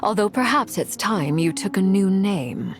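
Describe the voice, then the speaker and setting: An actress voicing a composed, commanding woman in a video game, with a measured, formal delivery and quiet care. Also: mid-range voice, animated, natural voice. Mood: reflective, advising. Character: composed, commanding adult woman, calculating yet quietly caring. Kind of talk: video game cutscene voice acting.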